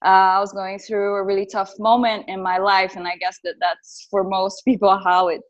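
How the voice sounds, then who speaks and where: A woman from Brazil with a Brazilian Portuguese accent tells her personal story on a podcast. She talks in an earnest, reflective way, and her voice sits in a mid-range pitch. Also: little pitch movement, moderate pace, highs cut off. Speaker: podcast guest. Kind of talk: casual interview, personal storytelling.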